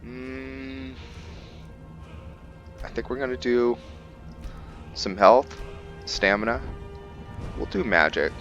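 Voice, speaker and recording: A man giving casual commentary on a roleplay video game, thinking aloud with halting pauses. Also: medium pitch, lively intonation, a bit bright, dull audio, soft background music. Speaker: gaming video host.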